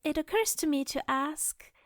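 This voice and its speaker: A woman's voice: high voice, animated, moderate pace, no echo, clean studio sound. A woman playing a poised, gracious goddess in a fantasy audio drama, speaking with polite, arch teasing. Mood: archly curious.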